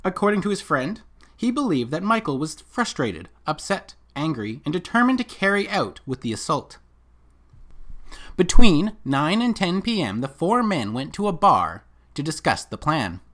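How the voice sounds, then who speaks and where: A commentator delivering scripted video commentary and reading from documents, with rhetorical, emphatic and deliberate phrasing. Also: medium pitch, big pitch swings, a bit bright. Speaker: commentator and narrator.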